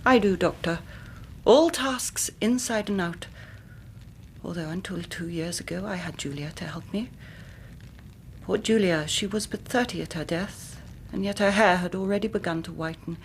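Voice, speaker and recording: An English actress playing an anxious, careworn young Victorian gentlewoman in a radio drama, speaking formally and precisely. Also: lowish voice, moderate pace, slight background noise, natural voice. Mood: sorrowful. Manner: formal, precise, dramatic narrating with hushed moments. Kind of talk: British radio drama acting.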